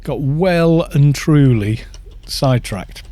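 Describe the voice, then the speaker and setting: A man's voice: fairly high pitch, slow pace, dark tone, dry recording. A British man in his fifties chatting in a rambling way while streaming a game and reading out viewer chat. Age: 50s. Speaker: gaming streamer.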